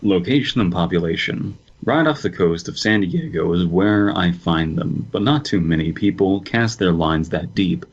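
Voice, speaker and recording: Low husky-voiced man, lo-fi. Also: expressive, moderate pace, few pauses. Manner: jokey, reads story text aloud. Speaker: podcast co-host. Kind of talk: casual podcast banter.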